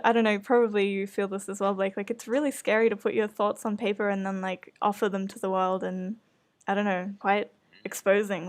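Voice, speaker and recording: A woman podcast host chatting casually in an earnest, hesitant, self-conscious way. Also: medium pitch. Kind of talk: casual chat.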